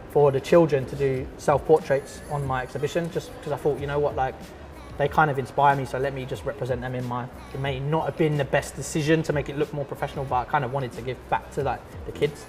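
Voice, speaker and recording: A man, an artist and teacher chatting casually in an interview, relaxed and full of fillers and restarts. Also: mid-range voice. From London.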